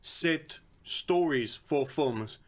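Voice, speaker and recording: A man's voice: on the high side, animated, very slow. A man co-hosting a podcast in a loose, conversational style. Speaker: podcast co-host. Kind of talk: casual chat.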